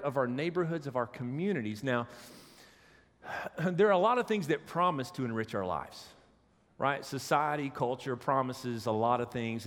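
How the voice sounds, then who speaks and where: A man with a head cold delivers a casual, joking sermon in a church sanctuary, his usually higher voice pulled lower. His words ring out with a long hall reverb. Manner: joking, conversational preaching with audience asides. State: has a cold, voice deeper than usual. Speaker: associate pastor.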